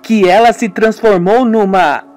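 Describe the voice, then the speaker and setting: A man's voice: high voice, steady tone, a little slow, darkish tone, faint music behind, pitched above the performer's own voice. A man voicing a skeptical young woman who scoffs and doubts everything in a radio soap. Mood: scoffing. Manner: scoffing, incredulous.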